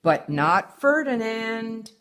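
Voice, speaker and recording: Slow-reading older woman. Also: a little high, very animated, a bit bright. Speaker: mother reading a picture book aloud. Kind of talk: slow read-aloud of a children's story.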